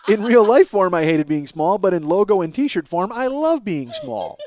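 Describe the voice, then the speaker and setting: A man's voice: high voice, sing-song, moderate pace, a little mellow, slight background noise. A man hosting a podcast in a casual comedic monologue, rambling, cutting himself off, swearing and mocking himself. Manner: rambling, self-interrupting, profane; self-mocking. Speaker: podcast host.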